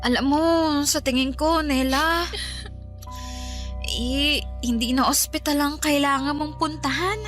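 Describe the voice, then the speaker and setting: A blunt friend, bright high voice. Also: female voice, steady tone, a little slow, soft background music. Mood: serious. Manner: blunt, hesitant with fillers. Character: blunt young woman, concerned friend. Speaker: radio drama actress. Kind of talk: Tagalog radio drama acting.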